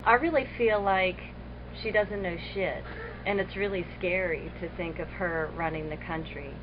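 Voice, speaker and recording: A woman with a rather low voice states her views bluntly and earnestly. The sound is dull and lo-fi, with clear hiss. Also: moderate pace. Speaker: interviewee. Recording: dull lo-fi webcam audio with hiss.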